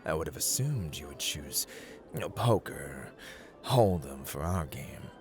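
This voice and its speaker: A man's voice: sing-song, a little slow, background noise, heavily acted. A man playing a playful, haughty god of luck in an ASMR roleplay, theatrical and teasing, drawling his words as he addresses the listener. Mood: amused, measured. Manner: theatrical, teasing, drawling, swinging between hushed and booming.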